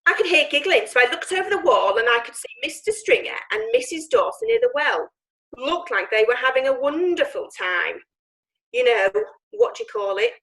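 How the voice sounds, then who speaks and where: An actress playing a gossipy witness recounting a night's events. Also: female voice, high voice, sing-song, normal speed, a bit bright, heavily acted, poor audio. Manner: gossipy storytelling. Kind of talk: amateur audio drama acting.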